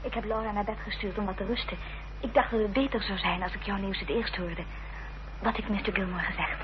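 An actress playing an anxious, protective Victorian gentlewoman with urgent, concerned delivery in a radio drama. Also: mid-range voice, steady tone, fairly quick, lightly acted. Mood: expectant. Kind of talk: period radio drama acting. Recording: dull, noisy, humming old radio recording.

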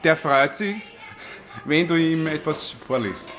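A man's voice: on the high side, takes it slowly, a bit bright. An actor playing a chummy, shady man in a radio drama, rambling through instructions with tag questions, with an Austrian accent. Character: chummy, shady male schemer giving instructions.

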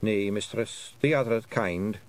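A man's voice: fairly low pitch, unhurried, lightly acted. A man voicing a tiny, bold pictsy clan leader with a blunt, emphatic Scottish dialect, in a storybook reading. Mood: grave warning.

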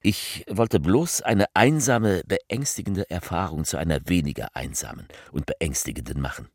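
An actor playing a grave, solemnly persuasive politician who speaks in deliberate, declamatory phrases in a radio collage. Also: male voice, sits low, expressive. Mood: grave, defiant. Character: male politician orator, grave, self-justifying, solemnly persuasive.

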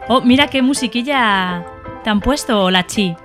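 A woman's voice: a little high, fairly bright tone, faint music behind. A young adult woman hosting a children's radio show in a studio, playful and teasing as she chats. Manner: playful and teasing, drawn-out words.

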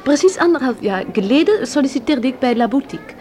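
Radio play role: polite young Belgian woman, French accent, fairly high voice with animated melody. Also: fast talker, slight background noise. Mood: courteous, hesitant. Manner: hesitant, courteous, peppers speech with French words. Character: polite young Belgian shop assistant turned stewardess. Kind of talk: radio drama acting.

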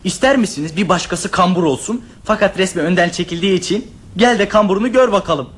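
An actor, a man, playing a bossy, scheming older child in a radio play, brisk and commanding. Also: high-pitched, a bit bright, a little hiss or hum. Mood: eager. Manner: commanding, scolding. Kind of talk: radio theatre acting.

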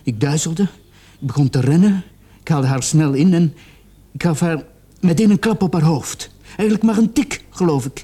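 An actor playing a distraught young man in a radio play, confessing haltingly and repeating his words in agitation. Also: on the high side, mellow, husky. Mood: agitated, panicky.

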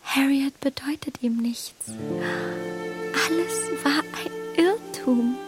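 A woman's voice: sits high, big pitch swings, very slow, in a whisper, over music, exaggerated voice. An actress playing a well-bred, impulsive young English lady in a German radio play, polite on the surface and privately flustered. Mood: stunned, whispering. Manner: polite, breathy asides to herself.

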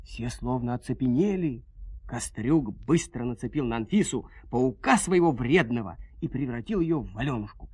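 A man narrating a scripted fairy tale in an expressive, dramatic, folksy storytelling manner. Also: mid-range voice, normal speed, breathy edge, no echo.

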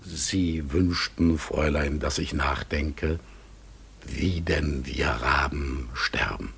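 An actor in a German radio play voicing a mysterious, pensive talking raven, halting and solemn as he searches for words. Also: a bass voice, fairly bright tone, heavily acted. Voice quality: gravelly, very husky.

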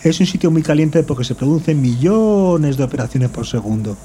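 A man's voice: on the high side, expressive, normal speed, dark tone, slight background noise, lightly acted. A man playing a bewildered but logical tech worker in an audio drama, explaining things as he reasons aloud. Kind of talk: amateur audio drama acting.

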